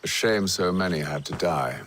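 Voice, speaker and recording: An actor playing a cold, commanding gentleman leader in a video game, speaking in a measured, formal, curt manner. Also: low-pitched, slight background noise. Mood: calm, businesslike. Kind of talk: video game cutscene acting.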